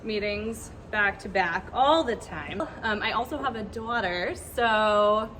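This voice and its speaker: A woman's voice: mid-range voice, slow pace, bright, forceful tone, a little hiss or hum. A woman modelling a product, casually and playfully listing what she carries.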